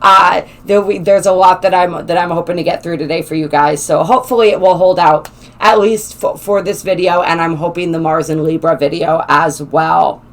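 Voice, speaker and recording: A woman hosting a spiritual channel, her voice slightly raspy, talking in a gentle, flowing way with some halting restarts. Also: on the low side, fairly even intonation. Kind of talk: casual spoken explainer, partly read aloud.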